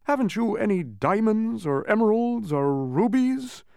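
High-pitched fussy humbug bug. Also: male voice, very animated, a little slow, dark tone, no room sound, clean studio sound. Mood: irritable. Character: fussy, timid, grumbling humbug bug, storybook creature.

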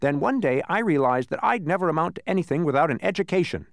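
A man voicing a giant, proud and excitable spelling bee in a storybook, fussy and pompous, given to spelling words out. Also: fairly high pitch, quick pace, gravelly, no room sound, studio quality, pitched above the performer's own voice. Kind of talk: animated storybook character reading.

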